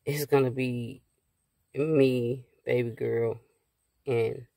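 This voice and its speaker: A woman in her thirties talks into a phone held at arm's length inside a parked car. Her voice is gravelly and her delivery unhurried. She rambles in a relaxed way, with plenty of fillers. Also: very deep voice, no room sound. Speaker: vlogger. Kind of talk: casual car chat to camera.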